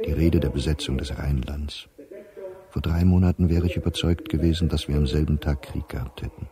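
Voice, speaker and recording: A man's voice: rumbling low, steady tone, a little fast, dark tone. An actor playing an anxious middle-aged diarist, reading his entries soberly and precisely in short clipped sentences for a radio play. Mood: sober, resigned. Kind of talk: dramatic reading of a diary for radio.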